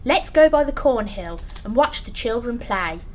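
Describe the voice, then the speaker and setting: A woman acting a meek, kindly clerk and loving father in an audio play, gentle and deferential. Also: fairly high pitch, animated, moderate pace, close to an everyday voice. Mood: tender. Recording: clear background noise, ambience.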